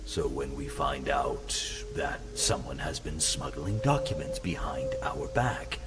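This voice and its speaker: A menacing senior scientist acted by a man speaks from very low in his range, with wide swings of intonation. He is slow and condescending, soothing on the surface as an interrogator in a horror series. Loud music plays under him. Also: animated, heavily acted. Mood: menacing, accusing. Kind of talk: horror web series acting.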